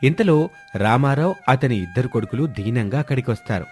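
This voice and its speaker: A man's voice: medium pitch, animated, brisk, darkish tone. A man reading a scripted moral story as its narrator. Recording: music bed under the voice. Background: background music.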